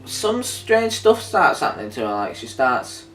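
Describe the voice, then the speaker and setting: A man's voice: medium pitch, very animated, unhurried, a bit bright, slight background noise. Male review co-host, conversational and hesitant, thinks aloud; roomy, off-mic sound. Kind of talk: casual chat reviewing an audio drama. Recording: echoey room, distant mic, faint music behind. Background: faint music.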